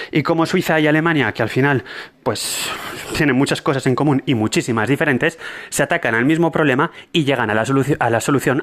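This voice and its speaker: A man talks through a solo podcast episode in a casual, conversational way. He often corrects himself mid-sentence and drops in wry asides. His pitch sits in the ordinary middle range for a man. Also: fairly bright tone. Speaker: podcast host.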